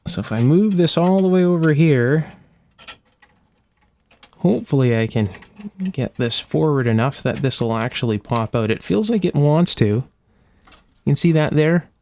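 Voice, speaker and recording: A man's voice: on the high side, expressive, normal speed, pauses often, darkish tone. A man hosting a hands-on restoration demonstration in a workshop, thinking aloud in a casual, methodical, step-by-step way. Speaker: host of an electronics restoration channel. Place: indoor electronics workshop.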